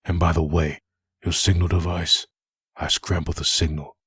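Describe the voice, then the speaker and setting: A man playing a vengeful undead antihero in a comic dub, raspy, bitter and accusing. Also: deep voice, level tone, normal speed, fairly bright tone, no room sound, exaggerated voice. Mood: bitter, taunting. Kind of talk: fan comic dub acting.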